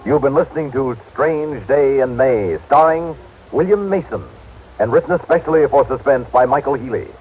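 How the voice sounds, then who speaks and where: A man's voice: medium pitch, animated, normal speed. A man announcing in a scripted, vintage radio style. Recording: dull old broadcast, music behind.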